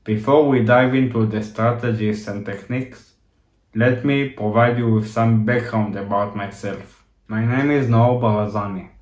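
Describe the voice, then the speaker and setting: A man in his thirties from Israel with an Israeli accent, reading a scripted introduction to his course in a steady, measured way. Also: on the low side, echoey room. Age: 30s. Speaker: course instructor.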